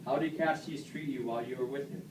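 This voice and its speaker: A man's voice: medium pitch, steady tone, fast talker, close to an everyday voice. Man playing wronged animal commander, proud, puppet play, roomy distant recording. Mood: proud, offended. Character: proud, wronged male animal general, Brutus. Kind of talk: amateur read-aloud puppet play. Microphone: far camera. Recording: echoey classroom, distant mic, clear noise.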